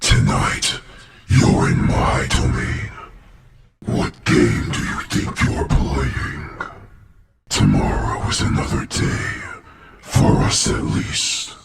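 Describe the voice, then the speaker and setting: A man voicing a threatening, mocking animatronic villain in a horror game, with a gravelly voice and domineering, theatrical taunts. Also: extremely low pitch, lively intonation, takes it slowly, heavily acted. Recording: echoey with noisy background.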